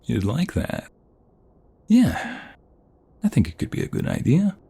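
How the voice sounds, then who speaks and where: A man playing a protective, affectionate mobster fiancé in an intimate ASMR roleplay, with a husky, breathy voice that often drops toward a whisper. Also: mid-range voice, huge pitch swings, mellow, heavily acted. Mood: warm, playful. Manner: affectionate, teasing, slow and murmuring. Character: protective, tender mobster fiancé soothing his beloved.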